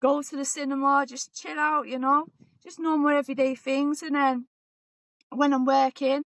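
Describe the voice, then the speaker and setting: A woman in her forties, a boxer talking as a guest in a relaxed, chatty way and often repeating herself. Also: sits high, normal speed, no echo. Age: 40s. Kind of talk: casual interview chat.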